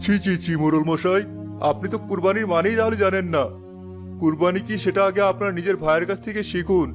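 A righteous, admonishing village cleric from a cartoon, voiced by a man. He preaches quickly in a slightly high male voice, with faint music behind him. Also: close to an everyday voice. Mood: scolding. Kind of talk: Bengali moral cartoon dialogue.

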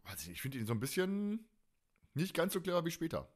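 A man's voice: fairly high pitch, big pitch swings, quick pace. A man hosting a casual fan podcast, talking in a colloquial, opinionated and blunt way. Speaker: podcast host.

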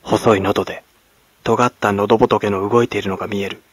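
A man from Kagoshima, Japan, narrating classic literature as a dramatic audiobook in a grave, measured manner. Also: lowish voice, level tone, husky, dry recording. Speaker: actor, narrator. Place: at home, close and dry.

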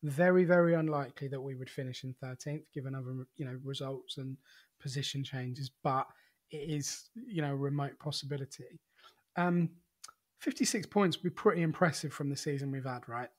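A man co-hosting a football podcast, talking in a relaxed, conversational way with frequent "you know"s and restarts. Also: mid-range voice, moderate pace. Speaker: podcast co-host. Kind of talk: casual football podcast chat.

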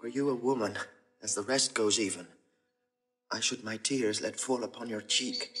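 A man playing an earnest young gentleman, a long-lost twin, in heartfelt, measured classical Shakespearean acting. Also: on the low side, steady tone, lightly acted. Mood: wondering.

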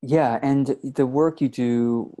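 A man interviewing a client on a video call from a room with bookshelves, asking relaxed, encouraging questions. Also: medium pitch, slow, slight background noise. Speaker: interviewer, business coach. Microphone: earphone mic on a video call.

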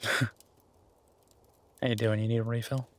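A man playing a tender, teasing, easygoing werewolf boyfriend in an intimate romantic audio roleplay, murmuring softly with a husky voice. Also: mid-range voice, little pitch movement, measured pace, lightly acted. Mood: playful, affectionate.

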